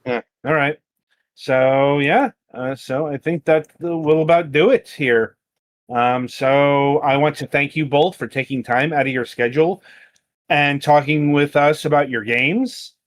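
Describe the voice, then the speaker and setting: A man hosting a live-streamed interview, asking questions in a measured, polite way. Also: fairly high pitch, fairly even intonation. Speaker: podcast host, interviewer.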